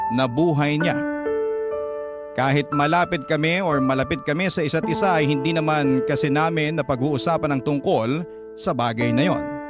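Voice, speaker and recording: Higher-pitched male storyteller over loud music. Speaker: radio drama narrator. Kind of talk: first-person letter narration, storytelling.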